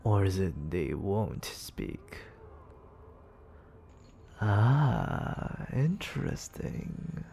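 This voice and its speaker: Slow, gravelly, whispery demon lord, noisy recording. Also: low-pitched, very animated, mellow. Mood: probing, coolly doubtful. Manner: slow, theatrical, often dropping into breathy whisper. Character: suave, haughty demon lord appraising captive wares. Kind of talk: ASMR roleplay acting.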